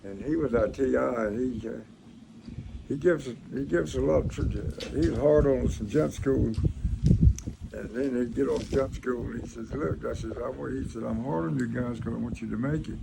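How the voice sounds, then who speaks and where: Older male, gravelly, plain-spoken storyteller, informal, noisy recording. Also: medium pitch, moderate pace. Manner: folksy, plain-spoken, rambling. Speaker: grandfather recounting his military past. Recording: noisy room, clear background noise.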